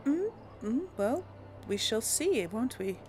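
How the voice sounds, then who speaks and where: Slow, playful woman playing a game. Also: on the high side, big pitch swings, darkish tone, faint music behind. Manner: playful, chatty, laughs often. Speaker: let's play host. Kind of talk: casual game commentary and role play.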